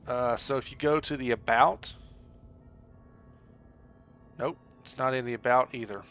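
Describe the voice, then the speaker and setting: A man's voice: mid-range voice, steady tone, unhurried, fairly bright tone, faint music behind. A man live-streaming a video game, chatting casually with his viewers in a rambling, joking, apologetic way. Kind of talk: casual chat with stream viewers while gaming. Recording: clearly audible background noise.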